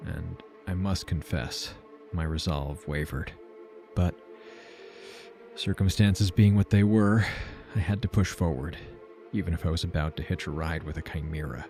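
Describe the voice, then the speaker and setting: A wry uneasy traveler, low voice, deliberate first-person audio drama narration, music behind. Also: male voice. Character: uneasy, wry male traveler narrating a simulation-hopping adventure.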